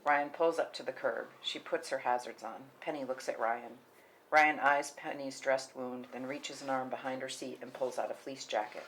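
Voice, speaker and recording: A woman with an exceptionally low voice reads stage directions as scripted narration at a table read. The room recording carries background noise and small clicks. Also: steady tone, normal speed, fairly bright tone, poor audio.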